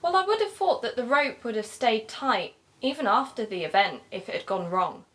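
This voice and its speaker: A woman playing a keen, sociable amateur investigator and podcaster in an audio drama, talking in a measured, conversational way. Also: a little high, animated, a bit bright. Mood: thoughtful.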